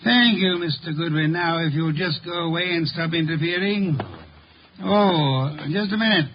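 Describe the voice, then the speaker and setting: An actor playing an irritable, pompous, corpulent genius detective with haughty, formal diction in a 1950s American radio drama. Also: male voice, on the high side, normal speed, natural voice. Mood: irritated. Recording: muffled, noisy 1950s radio recording.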